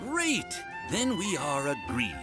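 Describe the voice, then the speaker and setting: An actor playing an effusive, obliging landlord in an anime-style video game, with flowery, overly polite speech. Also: male voice, a little high, huge pitch swings, takes it slowly, exaggerated voice. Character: effusive, obliging male landlord, eager and slippery. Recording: music behind the voice.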